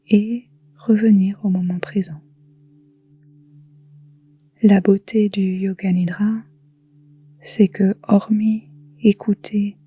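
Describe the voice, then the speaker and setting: A woman guiding a relaxation meditation in a soft, whispery, calm and soothing voice, leaving long pauses. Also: mid-range voice. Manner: soft, breathy, whispery delivery. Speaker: yoga nidra guide.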